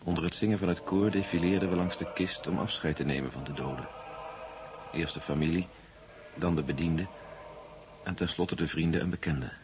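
Actor as Pavel, deep-voiced grave storyteller. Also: male voice, a little fast, pauses often, noisy background, close to an everyday voice. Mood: grave, measured. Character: Pavel, grave male friend recounting a ghostly tale. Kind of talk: dramatic first-person radio narration.

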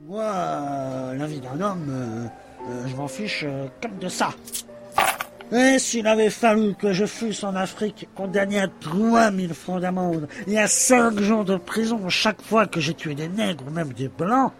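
A smug colonial explorer, acted by a man for a satirical audio drama, declaims with pompous, theatrical weight. He takes his time in a high register, casually cruel, over prominent music. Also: expressive, measured pace. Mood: dismissive. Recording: music behind the voice.

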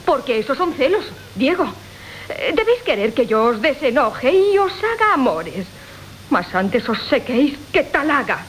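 Melodramatic nagging young wife, high voice, wailing, comic radio farce, faint tape hiss. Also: female voice, sing-song, normal speed, fairly bright tone, rough recording. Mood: scolding. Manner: theatrical, wailing, scolding. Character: scolding manipulative young pregnant wife, whiny and melodramatic. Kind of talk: classical Spanish comic radio play acting. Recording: hissy old radio recording.

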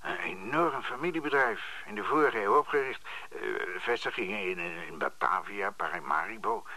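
A man's voice: lowish voice, animated, normal speed, bright, forceful tone, exaggerated voice. An actor playing a knowledgeable, cautious trade colleague in a radio play, blunt, muttering and repeating his words. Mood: curious, brisk.

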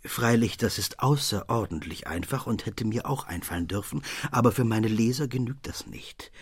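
An actor playing a detective's loyal, genially self-satisfied sidekick, narrating a German radio play in a measured, literary way. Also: male voice, medium pitch, lively intonation, breathy edge, no echo.

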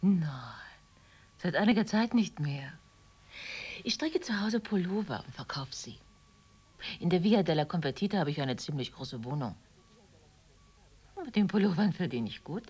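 An actress playing a calm, frank middle-aged mother who reasons matter-of-factly with a friend in a naturalistic radio drama. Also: lowish voice, normal speed, pauses often, breathy edge.

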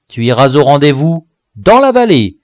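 A man reading an audiobook voices a vengeful male dwarf jester in a high, loud, strained voice. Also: big pitch swings, moderate pace, no room sound, clean studio sound. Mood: snarling vengeful. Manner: shrill snarling threats, later high wailing pleas. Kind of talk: audiobook character voice.

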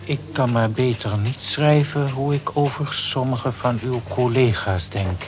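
An actor playing a kindly, polite male office worker in a radio play, with a measured manner. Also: medium pitch, lightly acted. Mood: appreciative. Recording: dull old radio play recording.

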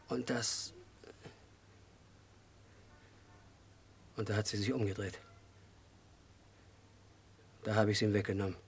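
A disturbed, childlike killer in a radio crime drama. His voice is husky and medium-pitched. He confesses in short, halting fragments, swinging between hushed and agitated. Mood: dazed, confessing. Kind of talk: mid-century German radio crime drama acting.

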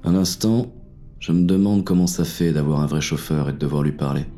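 A man's voice: extremely low pitch, fairly quick, dark tone, faint music behind. A man narrating in the first person as a weary, grim inspector in an audio drama. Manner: weary, grim inner monologue.

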